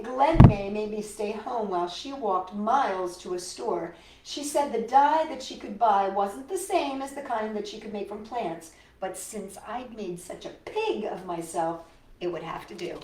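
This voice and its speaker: Female storytime host, very lively pitch, expressive read-aloud with chatty asides, recorded at a distance in an echoey room. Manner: expressive, childlike wonder, rhetorical questions to listeners. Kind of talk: reading a picture book aloud to children with chatty asides. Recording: roomy echo, distant microphone.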